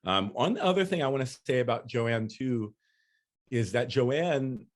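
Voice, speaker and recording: A poet answering audience questions in a relaxed, loose way, with lots of fillers. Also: male voice, medium pitch, unhurried. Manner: relaxed, many "you know" fillers, laughs easily. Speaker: featured speaker, poet. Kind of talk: casual talk and Q&A.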